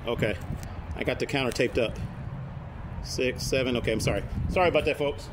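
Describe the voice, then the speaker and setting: Male vlogger, loud and self-correcting, quick delivery; phone recording with noise behind. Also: mid-range voice. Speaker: vlogger and camera collector. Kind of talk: casual on-camera show-and-tell. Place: his broadcaster museum room. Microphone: phone camera, no microphone.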